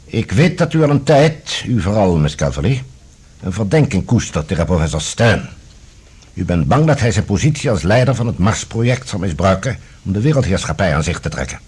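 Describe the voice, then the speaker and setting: Casting: stern security general for radio drama, low and very husky, formal, measured, courteous but unyielding. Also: male voice, sing-song, darkish tone, heavily acted. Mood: composed, authoritative. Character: composed, authoritative male general, head of security service. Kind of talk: Dutch radio drama acting.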